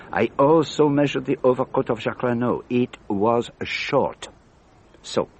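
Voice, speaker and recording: An actor playing an elderly detective in a radio drama, speaking in a precise, deliberate, patiently instructive way. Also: male voice, medium pitch, a little hiss or hum, natural voice. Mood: explaining. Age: older. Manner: precise, deliberate, didactic questioning. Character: elderly, methodical, patient Belgian male detective.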